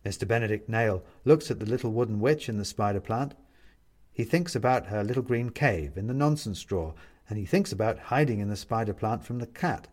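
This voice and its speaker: Animated male storyteller, husky edge. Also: lowish voice, a little fast. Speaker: audiobook narrator. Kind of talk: expressive scripted story narration.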